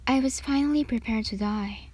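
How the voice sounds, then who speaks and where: A woman narrating a scripted fan fiction story in ASMR style, breathy and often half-whispered. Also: fairly high pitch, moderate pace, a little mellow.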